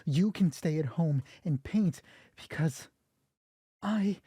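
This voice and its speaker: Man voicing a composed, defensive career-driven wife, soft-edged. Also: a little high, lively intonation, slow pace, breathy edge, dry recording, studio quality, exaggerated voice. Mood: firm. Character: distracted, career-driven wife defending her work, composed but firm.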